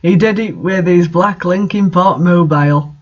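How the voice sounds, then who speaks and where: A man's voice: high voice, normal speed, lo-fi sound. A man doing a mocking read-aloud of bad fan fiction, with incredulous, sarcastic asides in casual commentary.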